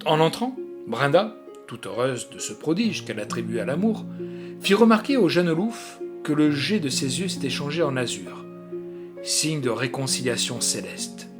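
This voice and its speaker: A man's voice: on the high side, expressive, normal speed. Dramatic male storyteller over guitar music. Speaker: audiobook narrator. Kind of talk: scripted literary narration.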